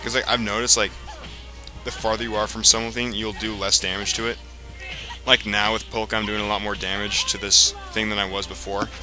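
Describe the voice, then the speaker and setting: Low-voiced man rambling through game commentary. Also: flat delivery, brisk, low-quality recording. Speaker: let's play commentator. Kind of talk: casual video game commentary. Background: video game music.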